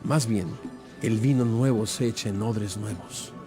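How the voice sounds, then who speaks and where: A man playing a calm, firm teacher with measured, emphatic delivery in a dramatized audio Bible. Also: lowish voice, music in the background, close to an everyday voice. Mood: instructive, firm.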